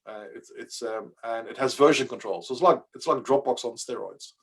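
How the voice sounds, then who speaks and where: A man's voice: medium pitch, a little fast, fairly bright tone, breathy edge. A man whose first language is Dutch presents an online coding workshop as the presenter, talking casually with many fillers and restarts over a computer mic on a video call.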